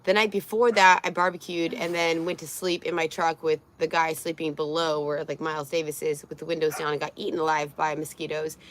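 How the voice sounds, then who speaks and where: A woman with a raspy, fairly low voice talks in a loose, rambling way, full of fillers and restarts. She hosts a casual chat. A faint hiss of background noise sits under the recording. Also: little pitch movement, a little fast, hardly any pauses. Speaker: vlog host.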